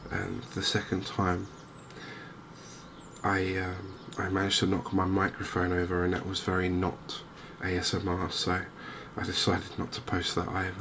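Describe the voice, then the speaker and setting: A man giving softly spoken ASMR commentary on a game, hushed, hesitant and unhurried, close to the microphone. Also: deep voice, monotone. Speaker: gaming video host. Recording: clear background noise.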